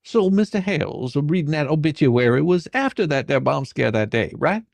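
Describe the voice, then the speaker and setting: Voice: medium pitch, sing-song, moderate pace, creaky, a little mellow, no room sound. Performer acting a pushy, folksy attorney, swooping intonation. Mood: probing. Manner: badgering, sarcastic, coarse. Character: pushy, folksy, exasperated attorney questioning a witness. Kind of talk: dramatic reading of a deposition transcript.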